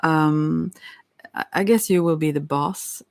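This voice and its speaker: Halting, creaky-voiced tarot reader, very close and dry. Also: medium pitch, no room sound. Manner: hesitant, halting, apologetic, with frequent self-interruptions. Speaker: tarot card reader, ASMR host. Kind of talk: rambling ASMR tarot reading.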